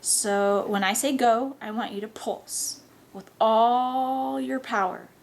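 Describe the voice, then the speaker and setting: A woman voicing a bossy, excitable, nerdy boy scientist in a story reading. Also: a little high, slow pace, gravelly. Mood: bossy, precise.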